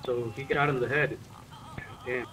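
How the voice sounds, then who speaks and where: A man's voice: medium pitch, steady tone, unhurried. A man talking casually in character as a medic in a roleplay game, over noisy voice chat. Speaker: roleplay gamer playing a medic. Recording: clear background noise on game voice chat.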